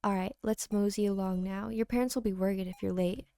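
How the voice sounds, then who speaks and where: A woman's voice: medium pitch, steady tone, fairly quick, warm tone, no room sound, natural voice. A woman voicing a cocky, teasing teenage boy, a loyal best friend in a game, with brash, slangy delivery.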